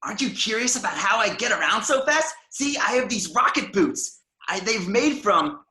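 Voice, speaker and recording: Man as whiny eager boy sidekick, bright sharp voice, animated pitch, pushy, pleading, echoey room. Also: normal speed, exaggerated voice. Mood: excited. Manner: excitable, pleading delivery. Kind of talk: online table read of a film script.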